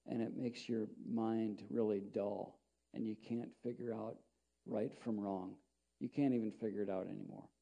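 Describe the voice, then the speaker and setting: A middle-aged pastor preaches from a podium mic in a small church, his voice very dark and mellow. He drifts between scripture reading and loose, rambling asides, often stopping and restarting his sentences. His pitch sits in an ordinary male range. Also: vocal fry. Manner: conversational, rambling, with frequent restarts. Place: small church sanctuary, indoors.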